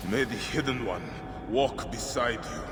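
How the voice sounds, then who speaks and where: An actor playing a grim, vengeful male warrior who speaks slowly and solemnly. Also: medium pitch, expressive, noisy background, natural voice. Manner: slow, solemn and measured. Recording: loud orchestral score behind.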